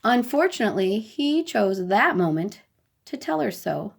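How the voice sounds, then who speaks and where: A woman storyteller reading a children's bedtime story in a deliberate, expressive way. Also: medium pitch, gravelly.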